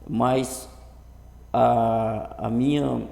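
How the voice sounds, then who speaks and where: Gravelly middle-aged northern Brazilian man, echoey room. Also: mid-range voice, fairly even intonation, mellow. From Brazil, Rondônia. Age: early 50s. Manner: halting, often repeats and restarts words. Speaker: vlogger introducing his channel. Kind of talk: casual talk to camera. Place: improvised untreated home room. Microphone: computer webcam setup. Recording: echoey improvised room, strong reverb.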